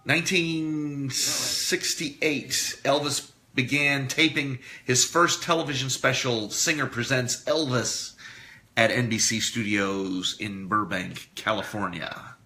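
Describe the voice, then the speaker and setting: A man presenting a live radio show, talking casually and a bit haltingly through history notes. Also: medium pitch, steady tone, sharp tone.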